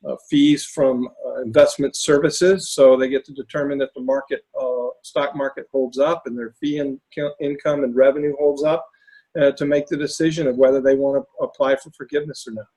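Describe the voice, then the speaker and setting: A man whose voice is raspy and hoarse, heard over a video call. He talks in a halting way, filling gaps with ums and restarting sentences. His pitch sits in the middle. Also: flat delivery, mellow, low-quality recording. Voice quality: raspy, lost voice. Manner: halting, many fillers and restarts. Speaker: panelist presenting case studies. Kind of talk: webinar talk.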